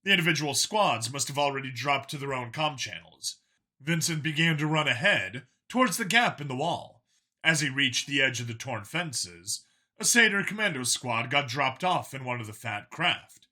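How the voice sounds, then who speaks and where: A man's voice: medium pitch, animated, normal speed, pushed and bright. A man reading a scripted story as a dramatic audiobook narrator.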